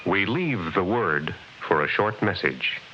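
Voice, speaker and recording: A man's voice: low voice, lively intonation, unhurried. A man announcing and narrating from a script in 1960s radio style. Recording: very noisy, muffled old radio recording.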